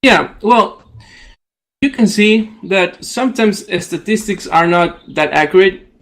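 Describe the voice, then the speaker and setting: A man's voice: sits high, a little slow, fairly bright tone. A man in his twenties with an Ecuadorian accent, a native Spanish speaker, talking casually as a radio guest. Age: 20s. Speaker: psychology professional guest. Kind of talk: casual radio discussion in non-native English.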